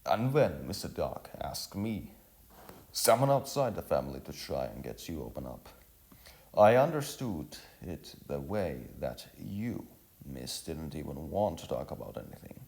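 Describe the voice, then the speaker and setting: A man playing an earnest young village workman in a storybook, formal, deliberate and halting. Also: extremely low pitch, sing-song, creaky, darkish tone, exaggerated voice. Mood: earnest, explaining.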